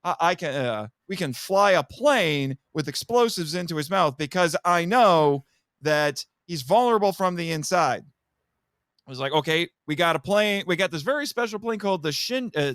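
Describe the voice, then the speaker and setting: A man giving an animated, casual plot recap as a podcast guest, telling it dramatically and acting out the characters' lines. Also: sits high, clear, resonant tone, no echo, studio quality. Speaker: podcast guest reviewing a film.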